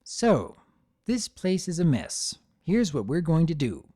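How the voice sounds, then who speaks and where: A narrator as a high-voiced, reassuring mother mare. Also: big pitch swings, slow pace, dark tone, dry recording, studio quality. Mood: brisk. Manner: motherly, coaxing. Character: kindly, practical mother pegasus mare, brisk and reassuring.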